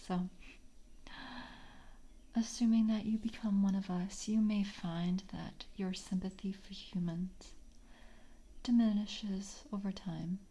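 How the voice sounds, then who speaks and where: A woman voicing a calm, jaded vampire screener in an ASMR roleplay, speaking softly in a breathy half-whisper with a measured, politely official manner. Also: medium pitch. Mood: calm, world-weary. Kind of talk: soft-spoken ASMR roleplay.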